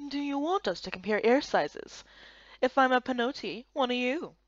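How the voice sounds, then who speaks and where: A woman's voice: high-pitched, expressive, moderate pace, no echo, muffled recording. A woman voicing a cheeky young male elf in a fantasy game, smug and sardonic. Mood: smug, mocking. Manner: teasing, sardonic.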